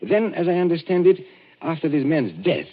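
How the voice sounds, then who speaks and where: An actor playing a suave stage magician who speaks in an urbane, theatrical, mocking manner in an old radio drama. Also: male voice, a little high, animated, fairly quick. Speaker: magician and escape artist. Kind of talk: 1940s radio drama acting. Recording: tinny, lo-fi old radio broadcast.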